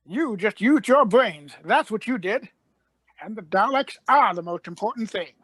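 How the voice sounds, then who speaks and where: A woman playing an eccentric, commanding, quick-witted Doctor in a scripted radio play, emphatic and theatrical. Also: medium pitch, animated, slightly rough, no echo. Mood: brisk, pleased.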